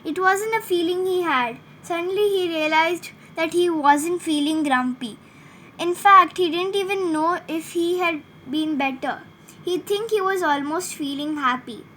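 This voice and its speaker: A woman's voice: high-pitched, fairly bright tone, a little hiss or hum. A woman narrating a children's bedtime story expressively, sometimes stumbling over words and correcting herself.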